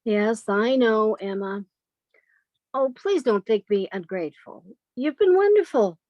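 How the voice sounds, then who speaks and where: A woman's voice: on the high side, lively intonation, unhurried, no echo, highs cut off. A woman playing a timid, yielding younger spinster sister in a radio drama, hesitant and apologetic. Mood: meek, wistful. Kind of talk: old time radio drama acting.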